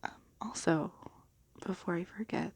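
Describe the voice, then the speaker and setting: A woman speaking in a gentle, unhurried, hushed and breathy ASMR style, soft-spoken and half whispered, as for bedtime stories. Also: fairly low pitch. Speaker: ASMR video host and storybook reader.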